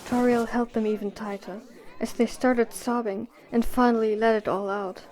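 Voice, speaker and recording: Fairly high-voiced woman narrating a story. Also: steady tone, moderate pace, a little mellow, low-quality recording.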